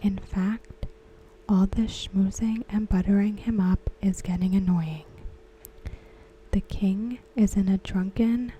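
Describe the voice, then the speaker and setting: A woman speaking in a soft unvoiced whisper for an ASMR recap, slow and even throughout. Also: medium pitch, lo-fi sound, slight background noise. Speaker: ASMR creator.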